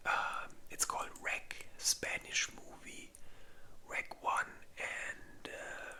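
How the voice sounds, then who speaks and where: A host speaking in a breathy, unvoiced whisper, rambling informally in a casual ASMR ranking video. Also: slow pace, pushed and bright.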